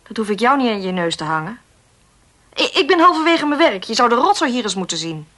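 A woman's voice: fairly high pitch, sing-song, fairly quick, bright, forceful tone. An actress playing an irritated, defiant woman in a Dutch radio play, speaking in a curt, dismissive way. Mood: annoyed, curt. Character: irritated, defiant woman pestered by a phone caller.

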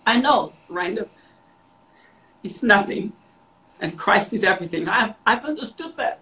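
A man's voice: high voice, animated, unhurried, sharp tone, rough recording. Emphatic man preaching. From Austria. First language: German. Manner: emphatic, repeats words for stress. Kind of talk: devotional sermon with interpreter.

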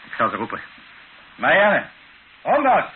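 A man's voice: on the high side, huge pitch swings, unhurried, breathy edge, heavily acted. Een man die in een hoorspel een hoffelijke, berustende vader van middelbare leeftijd speelt. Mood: formal, concerned. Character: resigned, courteous colonial father, Monsieur Moreau. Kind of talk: radio play acting. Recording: muffled, hissy old radio recording.

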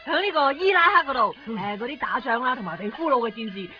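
An actress playing an earnest, gushing guest proposing toasts in a comic Cantonese radio drama, with a Hong Kong accent. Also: female voice, on the high side, very animated, fast. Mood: solemn, earnest. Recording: muffled radio, loud music and crowd.

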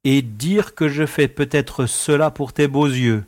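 A man's voice: mid-range voice, a little slow, darkish tone, no echo, lightly acted. A man playing an insistent space adventurer, dry close sound. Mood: teasing. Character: insistent, teasing male space adventurer, loyal companion. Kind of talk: audio drama dialogue.

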